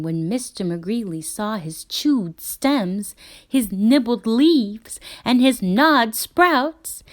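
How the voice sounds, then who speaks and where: A woman reading a children's picture book aloud, expressively and dramatically, with drawn-out emphasis on key words. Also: mid-range voice. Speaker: read-aloud storyteller.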